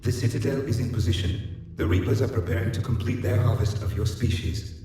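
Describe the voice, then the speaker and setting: Male actor as ancient alien AI, formal, detached, measured, heavily echoing, distant, video game. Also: medium pitch, close to an everyday voice. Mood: grave, resigned. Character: ancient alien artificial intelligence, formal, impassive, fatalistic. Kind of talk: scripted video game dialogue. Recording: echoing, distant, noisy game audio capture.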